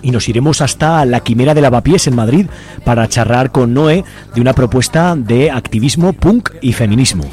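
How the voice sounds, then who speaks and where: A man's voice: mid-range voice, normal speed, darkish tone. A man with an accent from Spain co-hosting live community radio in an informal, chatty way. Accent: Spanish from Spain. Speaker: radio co-host. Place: radio studio, live broadcast. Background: music playing behind.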